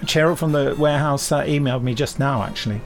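Male podcast co-host, mid-pitched, wry and playful, casual email reading over music. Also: fast talker, creaky.

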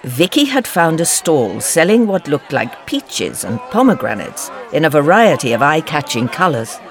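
A man giving measured, scripted audiobook narration. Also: on the high side, sing-song. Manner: measured storytelling.

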